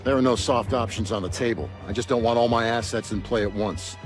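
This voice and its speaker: Fast-talking stern male military commander, acted. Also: medium pitch, lightly acted. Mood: stern, businesslike. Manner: brisk, no-nonsense. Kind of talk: military briefing, game acting. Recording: music and vehicle noise behind.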